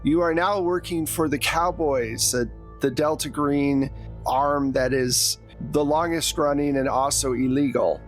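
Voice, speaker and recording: A man's voice: on the high side, fairly even intonation, unhurried, soft background music. A man narrating a tabletop roleplaying game as game master, telling the story in a conversational way.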